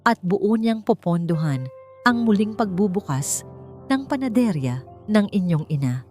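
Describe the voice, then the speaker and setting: A woman's voice: mid-range voice, a little slow, lightly acted. Lady doing a stiff male attorney, formal and businesslike, storybook dialogue, music behind. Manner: formal, flat, direct. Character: formal, emotionless male lawyer making a business offer.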